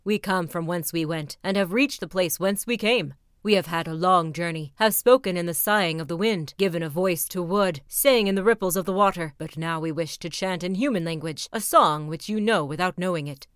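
A woman's voice: fairly low pitch, brisk, few pauses, clear, resonant tone, no room sound, clean studio sound, close to an everyday voice. A woman voicing a proud, solemn young twin prince in a fairy tale, speaking formally and declamatorily. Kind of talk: storybook character voice.

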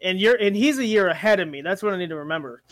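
A man's voice: sits high, expressive, rapid-fire, a bit bright, no echo. A young man in a casual chat with friends, working things out aloud and second-guessing himself. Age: young adult. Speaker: guest friend answering questions.

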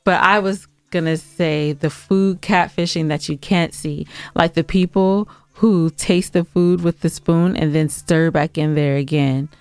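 A woman's voice: low-pitched, fairly even intonation, measured pace, hardly any pauses, clean tone, no echo. An American woman co-hosting a podcast in casual banter, blunt and emphatic in the way she gives her opinions. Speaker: podcast co-host.

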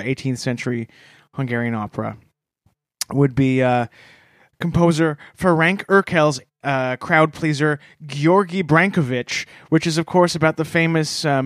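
Podcast co-host, male, slightly high voice, deadpan mock-earnest rambler, dry close mic. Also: no echo. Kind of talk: deadpan comedic podcast banter.

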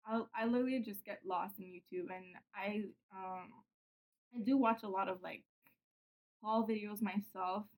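A woman's voice: mid-range voice, steady tone, normal speed, rough recording. Young woman, early twenties, casual podcast guest; giggles, rambles with fillers. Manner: giggly, chatty, lots of "like" fillers. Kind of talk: casual chat.

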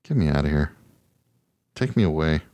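A man's voice: extremely low pitch, expressive, moderate pace, dark tone, dry recording. Exasperated, sarcastic American man, dry close sound. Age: adult, parent of children. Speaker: podcast co-host. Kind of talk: casual comic rant.

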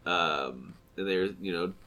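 A man's voice: deep voice, unhurried, sharp tone. A man in his thirties with a stuffy, nasal voice, co-hosting a casual chat podcast in a rambling, self-correcting way. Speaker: podcast co-host.

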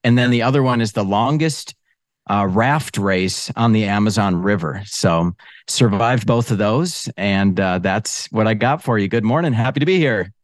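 A man telling a fun fact on a podcast panel, easygoing and unhurried. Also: fairly low pitch, no echo, clean studio sound. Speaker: panel guest. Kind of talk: casual panel introduction.

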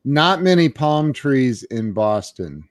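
A man's voice: medium pitch, very animated, no room sound, clean studio sound. A man hosting an interview podcast in a casual, upbeat way, often stopping and restarting his sentences. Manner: upbeat, chatty, with halting restarts. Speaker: podcast host.

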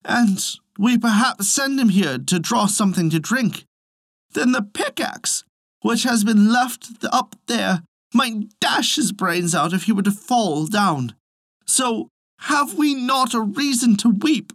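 Reader putting on a fretful silly young woman, crying high and sing-song, storybook, dry close mic. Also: expressive, slow pace, fairly bright tone, dry recording, heavily acted. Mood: tearful. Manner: high, wailing, lamenting. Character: weepy foolish young woman, fretting.

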